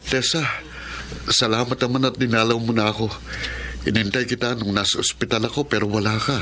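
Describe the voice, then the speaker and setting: A man's voice: medium pitch, fairly even intonation, brisk, music in the background, close to an everyday voice. A man acting a boastful young man turned remorseful and pleading, in a radio-style drama. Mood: hopeful. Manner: pleading, apologetic. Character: boastful, talkative young man, remorseful son and jilted boyfriend.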